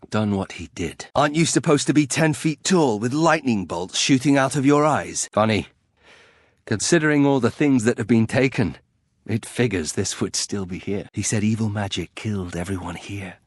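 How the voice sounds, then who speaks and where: Brooding, low-voiced fantasy nobleman, dry. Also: no echo. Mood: sardonic, defiant. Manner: dry, sardonic, clipped. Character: brooding, bitter young nobleman turned warrior, proud and sardonic. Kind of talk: fantasy video game voice acting.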